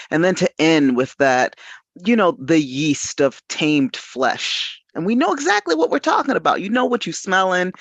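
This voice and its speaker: A man talking casually in a literary discussion, animated and searching for his phrasing as he goes. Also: mid-range voice, dry recording. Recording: dull lo-fi audio.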